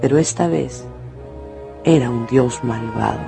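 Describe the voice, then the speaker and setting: A man narrating a dramatised radio story with theatrical, deliberate phrasing. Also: medium pitch, creaky, mellow. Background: music.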